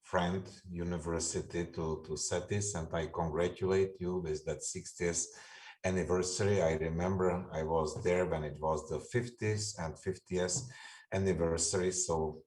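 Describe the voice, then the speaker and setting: Man, deep low voice, earnest. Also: fairly even intonation, normal speed, darkish tone. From Czech Republic. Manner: earnest, non-native phrasing. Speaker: university panelist.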